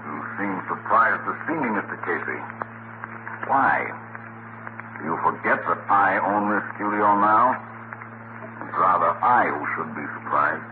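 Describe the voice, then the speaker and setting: Cold-blooded gentleman killer: very low, measured, formal menace, 1940s radio drama, narrow noisy sound. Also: animated. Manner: measured, formal, quietly threatening. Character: cold, menacing male murderer with a gun, formal.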